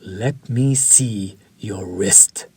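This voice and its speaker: A man's voice: fairly low pitch, warm tone, breathy edge, heavily acted. A man playing a suave vampire chef. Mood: seductive. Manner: slow, formal, seductive and menacing. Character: suave predatory male vampire chef, refined and possessive. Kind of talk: audio roleplay for a listener.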